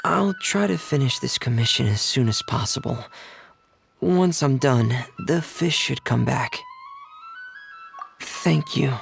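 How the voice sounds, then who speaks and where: A man playing a shy, reserved, gentle teenage boy in a video game cutscene, hesitant, polite and apologetic. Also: medium pitch, lively intonation, slow pace, creaky, long pauses, faint music behind, exaggerated voice. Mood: thoughtful, apologetic.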